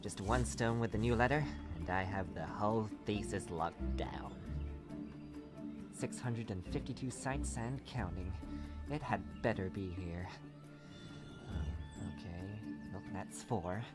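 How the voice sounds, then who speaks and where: A man voicing an excitable researcher of ancient runes in a comic dub, halting and easily panicked. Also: close to an everyday voice. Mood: focused muttering. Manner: halting, muttering, bursting into high cries. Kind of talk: amateur comic dub, dramatic journal monologue. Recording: loud music over the voice. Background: loud music.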